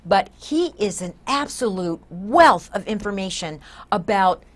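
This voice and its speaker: An older American woman hosts a studio talk show in a conversational way. Her pitch is average for a woman as she guides the interview. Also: animated, a little slow. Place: small indoor TV studio.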